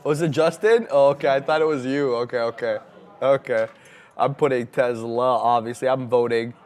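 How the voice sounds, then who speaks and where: A man's voice: medium pitch, fairly even intonation, normal speed, a little mellow. A man co-hosting a live trading show, giving chatty, upbeat market commentary and laughing easily with his co-host. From Egypt.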